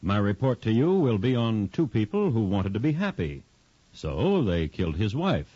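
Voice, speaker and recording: An actor playing a wry, deliberate crime chronicler, narrating as host in 1950s radio drama style. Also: male voice, fairly low pitch, very animated, mellow, slightly rough, no echo. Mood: dry, wry. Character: wry, measured male crime chronicler hosting a radio series. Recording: dull, noisy 1950s radio recording.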